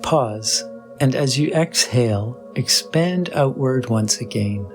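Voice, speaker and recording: A man guides a meditation at a very slow pace, leaving long pauses between phrases. His delivery is soothing and measured, in a mid-range pitch. Clear meditation music plays behind his voice. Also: expressive. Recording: voice over background music with singing bowl.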